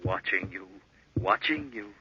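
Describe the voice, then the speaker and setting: An actor playing a sinister voice inside a murderer's head, taunting and repeating with insistence, in 1940s radio horror style. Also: male voice, deep voice, expressive, measured pace, background noise. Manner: insistent, repetitive intoning. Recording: dull old 1940s radio recording.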